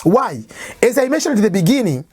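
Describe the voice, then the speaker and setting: A woman's voice: medium pitch, big pitch swings, a little fast, darkish tone, slightly rough, no room sound. East african woman teaching english test prep, swahili first language, repeats herself a lot, dry close sound. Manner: repetitive, explains by restating points with examples. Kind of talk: informal lecture.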